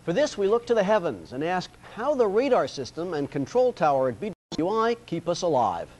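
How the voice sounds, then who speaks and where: An American man narrating a television news feature as a reporter, in a measured, wry storytelling style. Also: high voice, big pitch swings, a little mellow.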